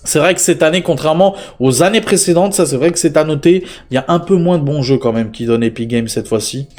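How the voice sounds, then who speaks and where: A man's voice: fairly high pitch, fast talker, darkish tone. A young man running a casual tech-deals video, talking in a chatty, rambling way with lots of fillers and repeated words. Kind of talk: casual deal roundup vlog.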